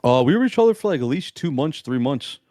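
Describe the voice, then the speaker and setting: A young man in casual interview chat, talking in a strong monotone, laid-back and slangy, rambling with restarts. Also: on the high side, quick pace, no echo. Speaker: amateur esports player, guest.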